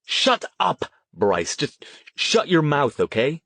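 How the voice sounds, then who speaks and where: Man voicing a gruff, defensive male investigator. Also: on the low side, big pitch swings, moderate pace, fairly bright tone, no echo. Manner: gruff, plain-spoken. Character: clumsy, defensive male paranormal investigator trying to keep peace.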